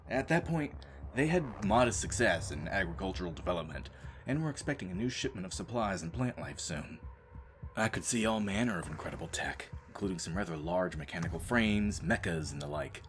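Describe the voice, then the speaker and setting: A man acting a world-weary, deadpan space marine reduced to janitor, telling his story as dramatic audio drama narration. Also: deep voice, fairly quick, a little hiss or hum. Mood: dry, explanatory. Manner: sardonic, deadpan, brooding. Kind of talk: dramatic first-person scripted narration.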